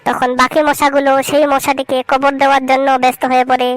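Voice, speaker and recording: A woman's voice: high voice, flat delivery, close to an everyday voice, rough recording. A woman voicing a cheeky schoolboy who gives absurd answers in a comedy cartoon. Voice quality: fast, cheeky storytelling. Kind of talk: cartoon voice acting.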